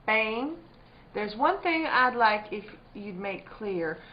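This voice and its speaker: An actress playing a guarded, plainspoken country farm wife in a spooky radio drama. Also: female voice, a little high, lively intonation, takes it slowly. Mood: guarded, suspicious. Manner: plainspoken, homely, increasingly suspicious. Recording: dull lo-fi audio with faint noise.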